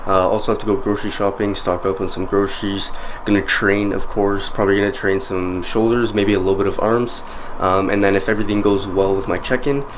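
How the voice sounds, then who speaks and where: A young man in his twenties with a low, easy voice talks to his camera from his bedroom. He chats casually to his viewers, and a faint hiss sits under the recording. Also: level tone, fairly quick. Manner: easygoing, chatty, lots of "guys". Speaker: fitness vlogger. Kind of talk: casual vlog talk to camera. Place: small bedroom indoors, later a parked car.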